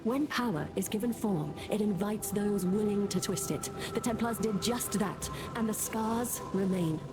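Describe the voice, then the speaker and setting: Woman acting grave haunted survivor, fantasy game cutscene, mid-pitched, solemn, measured, resolute, music underneath. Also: natural voice. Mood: bitter, solemn. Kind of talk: scripted fantasy game narration.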